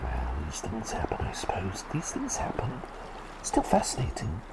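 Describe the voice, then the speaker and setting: A man voicing a kindly, forgetful doctor turned talking bird in a gentle ASMR roleplay, breathy and half-whispered, often hesitating and repeating his phrases. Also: low voice, wildly swooping pitch, moderate pace. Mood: gentle, curious. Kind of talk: ASMR roleplay with personal attention.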